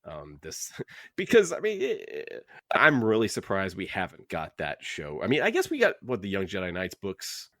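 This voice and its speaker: A man with a fairly low voice co-hosts a podcast book club. He rambles in an animated, joking way. The sound is dry, with little room in it. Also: normal speed, no room sound. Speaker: podcast co-host. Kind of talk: casual book club chat.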